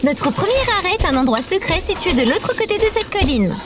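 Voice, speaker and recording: Casting: woman as cheerful android sidekick for audio drama, very high animated delivery, exact, enthusiastic, innocently fierce. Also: brisk, music in the background. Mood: excited, playful. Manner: enthusiastic, precise, explanatory. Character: cheerful female robot companion, eager, naively fierce. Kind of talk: audio fiction acting.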